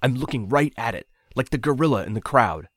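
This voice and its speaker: A man's voice: medium pitch, animated, a little fast, no echo, studio quality, voice pushed up, close to an everyday voice. A man reading a story aloud, voicing a frightened, angry young man who pleads, accuses and swears often. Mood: panicked, furious.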